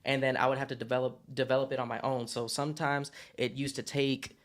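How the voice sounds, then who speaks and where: Fast-talking young man with a soft voice. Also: medium pitch, flat delivery. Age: 20s. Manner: quick, repeats words, peppers speech with "you know what I'm saying". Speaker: guest beatboxer. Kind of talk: casual interview chat. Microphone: live stream call.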